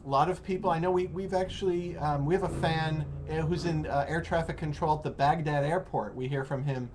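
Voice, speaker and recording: A man with an American accent hosting a casual interview, explaining in a halting way and rephrasing as he goes. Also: a little high, steady tone, a loud crowd. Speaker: host of an English-learning call-in program. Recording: noticeable background noise.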